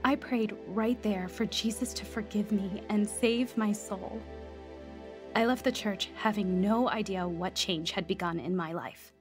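A woman's voice: medium pitch, measured pace, natural voice. An actress playing an earnest young woman recovering from drugs and newly converted, in a radio drama. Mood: reflective. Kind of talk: first-person radio drama narration and dialogue. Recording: studio drama with music underneath.

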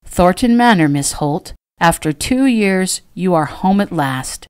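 A woman voicing a gruff, teasing young nobleman in a storybook, with a wry, grumbling manner. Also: lowish voice, expressive, measured pace, no echo, studio quality. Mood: grumbling relief.

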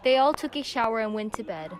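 Teen female narrator, high-voiced, reading a student audio drama over audible noise. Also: expressive, fast talker. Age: young teen. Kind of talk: scripted story narration. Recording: clicky amateur recording with background noise.

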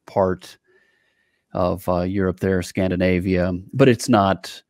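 Low, gravelly man, easygoing co-host. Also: steady tone, takes it slowly, a little mellow, no echo, studio quality. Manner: easygoing, joking, hesitant with fillers. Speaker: podcast co-host. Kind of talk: casual interview chat. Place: indoor home studio. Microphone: studio microphone on a video call.

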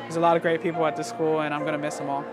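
A young man talks quickly in an interview, with little rise and fall in his voice. Music plays behind his graduate testimonial. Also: fairly high pitch, completely flat delivery. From South Texas, USA. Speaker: law school graduate.

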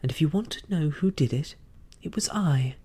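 A man's voice: fairly high pitch, expressive, normal speed, warm tone, breathy edge, voice pushed up. A man doing a defiant young boy's bold speech. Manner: bold, declaiming. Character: Jim Hawkins, defiant young boy, brave. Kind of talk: audiobook reading.

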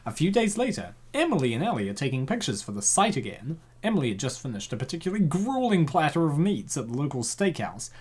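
A man narrating a comic dub and cracking jokes between panels. He laughs often, and his pitch jumps around a lot. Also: quick pace, hardly any pauses, husky. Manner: joking, laughs often, animated. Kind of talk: narration with joking commentary.

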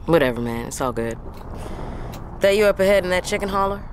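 A woman's voice: lowish voice, expressive, brisk, natural voice. An actress playing a tough, wry, guarded woman truck driver, speaking bluntly and naturally in an audio drama. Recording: vehicle noise in truck cab.

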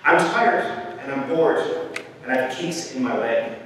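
A man's voice: medium pitch, expressive, measured pace, fairly bright tone. A man voicing a lazy, whiny female bird in a theatrical, wheedling storybook manner. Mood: bored, complaining. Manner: theatrical, pleading complaint. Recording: very echoey hall, distant, faint noise.

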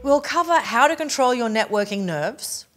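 A woman in her forties with an Australian accent, giving a polished, upbeat scripted pitch straight to camera from a living room set. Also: lively intonation, moderate pace, music in the background. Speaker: presenter promoting her keynote talks.

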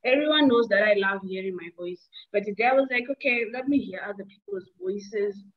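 A woman's voice: medium pitch, a little fast. A woman in her twenties hosting an online meeting from a small room through earbuds, chatty and playful. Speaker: meeting host. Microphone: earbuds on a video call. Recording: dull lo-fi call audio.

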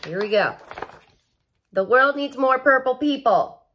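A woman reads a children's picture book aloud in the manner of a teacher. Her delivery is playful and expressive, with a widely swinging pitch. She leans on key words and slips in casual asides. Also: measured pace, a little hiss or hum.

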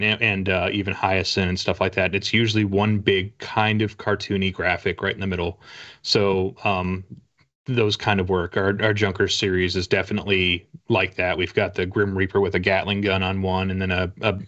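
A middle-aged man with a fairly low voice, chatting as a guest on a video call. He is wry and a little hesitant as he explains things. The sound is somewhat dull and lo-fi. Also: level tone, fairly quick, a bit bright. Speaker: guest, author. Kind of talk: casual interview talk. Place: book-lined room at home.

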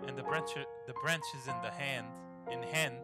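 A man's voice: mid-range voice, measured pace. Guy playing a game, english not his first language, swears constantly, trips over reading, game music in back. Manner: swears a lot and stumbles reading aloud. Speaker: gamer playing through a game. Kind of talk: casual let's play commentary.

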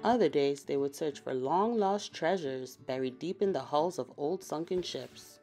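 Woman reading a kids picture book, lowish voice, super expressive, bit of music behind. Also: unhurried. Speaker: read-aloud storyteller.

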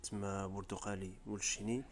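Riffian man, lowish voice, quick informal explainer narration, tutorial voice-over. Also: little pitch movement, slight background noise. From Rif region of Morocco. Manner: brisk, informal, step-by-step explaining. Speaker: tutorial presenter. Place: voice-over, not the pictured scenes.

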